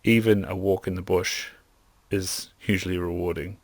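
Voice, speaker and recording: Deep-voiced enthusiastic kiwi man. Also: steady tone, moderate pace, no echo. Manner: enthusiastic, rambling with lots of "like" and restarts. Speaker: podcast guest, hunter and optometrist. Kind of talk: casual interview chat.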